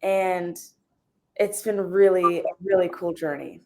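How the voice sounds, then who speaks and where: A woman's voice: on the low side, fairly even intonation, slow pace. A woman, an actress guest, chatting casually as she answers interview questions.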